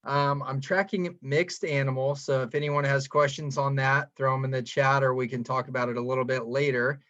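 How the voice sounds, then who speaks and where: A young man from southern Indiana, a veterinary student, talking in a relaxed, unhurried way on a video call. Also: medium pitch, dry recording. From Evansville, Indiana, US. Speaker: fourth-year veterinary student. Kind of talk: casual panel talk on a video call. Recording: dry, muffled video-call audio.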